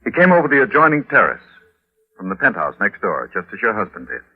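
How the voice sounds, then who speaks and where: A no-nonsense police inspector in old-time radio drama. His low voice is husky and rough, with authoritative, reassuring delivery. The recording is very thin and muffled. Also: wildly swooping pitch, fast, exaggerated voice. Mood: explanatory. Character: matter-of-fact, dismissive police inspector. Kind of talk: 1950s radio drama acting.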